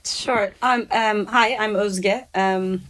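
A woman's voice: mid-range voice, fairly even intonation, slow pace, a bit bright. A woman from Turkey, a professor introducing herself on a podcast, friendly with many ums. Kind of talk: casual podcast chat.

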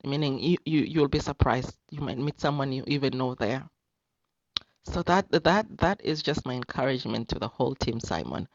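A woman's voice: rumbling low, moderate pace, dry recording, highs cut off. A woman speaking casually and encouragingly in a group chat, emphatic and repeating words to press her point.

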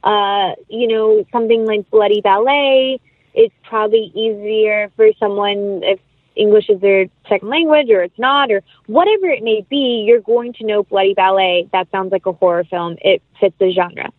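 A woman's voice: mid-range voice, steady tone, normal speed, no echo. A woman giving a casual interview as an actress, chatting informally with plenty of "like" and "you know". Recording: phone-like narrow-band line, dry.

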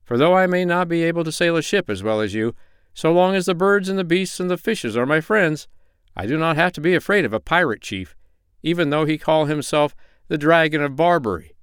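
A man's voice: fairly high pitch, animated, a little fast, a bit bright, clean tone, no echo, studio quality, pitched above the performer's own voice. A man voicing a kindly but stern animal doctor in a children's story, lecturing in a firm, formal way. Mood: firm, commanding.

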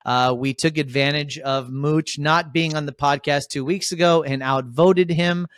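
A man hosting a casual podcast, upbeat and rambling, with lots of shout-outs. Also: fairly high pitch, fairly even intonation, measured pace, dry recording. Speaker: podcast host.

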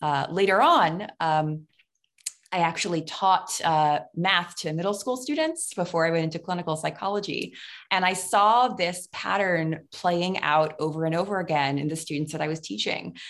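A woman, a psychology professor answering interview questions clearly, with frequent ums. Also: fairly low pitch, moderate pace. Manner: articulate, many ums and uhs. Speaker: clinical psychology professor, guest.

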